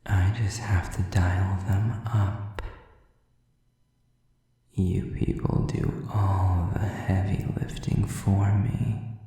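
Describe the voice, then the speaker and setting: Sadistic demon male actor, very dark low voice, breathy taunting whisper, contemptuous of humans, for audio roleplay, drenched in echo. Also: flat delivery, very slow, long pauses, heavily acted. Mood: gloating menace. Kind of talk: whispered dramatic monologue to the listener. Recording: distant, very echoey, muffled sound.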